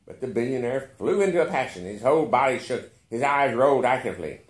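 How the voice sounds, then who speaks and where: A man reading a literary short story aloud with expressive narration. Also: medium pitch, fast, reverberant. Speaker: story reader.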